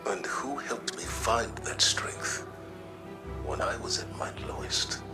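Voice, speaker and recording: Calm, low-voiced wise commander. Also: male voice, takes it slowly, in a whisper. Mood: somber, concerned. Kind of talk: dramatic video game dialogue. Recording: loud music behind the voice.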